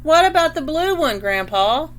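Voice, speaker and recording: A woman's voice: high-pitched, sing-song, normal speed, pitched above the performer's own voice. A woman voicing a loving young boy who worries about his grandfather, in a storybook read-aloud with a noisy hum. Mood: curious.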